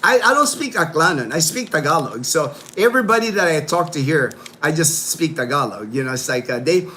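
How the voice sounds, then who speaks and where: A man's voice: fairly high pitch, lively intonation, fairly quick, pushed and bright. A man hosting a casual livestream from his home, rambling in loose talk full of "you know", "like" and "man". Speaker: livestream host.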